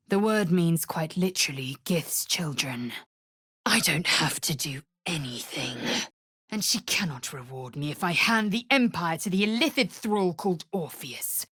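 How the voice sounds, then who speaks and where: A woman voicing a fierce, proud alien warrior in a video game, speaking with a husky rasp in a haughty, clipped, commanding and formal manner. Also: fairly low pitch, fairly bright tone, no echo, clean studio sound, full character voice. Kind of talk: video game character voice acting.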